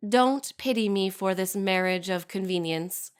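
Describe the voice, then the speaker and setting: A woman's voice: mid-range voice, unhurried, clean tone, close to an everyday voice. A woman playing a genteel young society lady in an audio drama, reading her own letter aloud with formal, refined diction. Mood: wry, composed.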